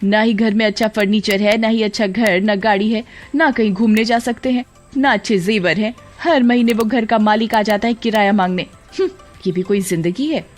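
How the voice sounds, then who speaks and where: A woman voicing a discontented, sharp-tongued young wife in a cartoon story, complaining and scolding. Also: mid-range voice, normal speed, natural voice. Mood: nagging, fed up. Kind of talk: Hindi cartoon story voice acting. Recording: background music.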